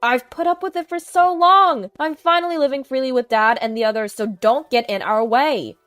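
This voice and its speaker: Indignant young grandson for audio story, played by a woman: raised pitch, fed-up, tense. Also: normal speed, fairly bright tone, smooth, no room sound, soft background music. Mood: angry.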